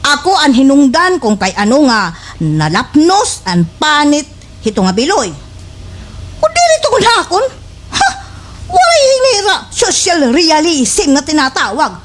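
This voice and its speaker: A scheming, boastful village gossip played by a woman in a slapstick radio comedy, with a Waray Filipino accent. The voice is high and swings wildly, theatrical and full of exaggerated indignation. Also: wildly swooping pitch, a little slow, full character voice. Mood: indignant, boastful.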